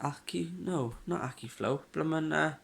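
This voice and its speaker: A man's voice: a little high, moderate pace, gravelly, fairly bright tone. A young man, chatting in a rambling home video diary, congested and coughing with a sore throat. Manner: rambling, chatty, frequent fillers and restarts. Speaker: vlogger.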